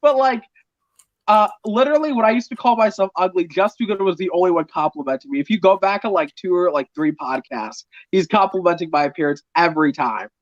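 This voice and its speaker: A young man chatting casually on a stream call, self-mocking and quick to laugh. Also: high-pitched, no room sound. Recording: slightly muffled dry lo-fi mic.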